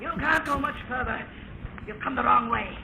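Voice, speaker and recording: An actor playing an unhinged, gloating murderer in an old-time radio thriller, shrill and repetitive. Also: male voice, normal speed, gravelly, roomy sound, crowd noise. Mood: menacing glee. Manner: gloating, repetitive, maniacal laughter. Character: crazed gloating male murderer cornering his enemy, unhinged. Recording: very noisy lo-fi old radio recording.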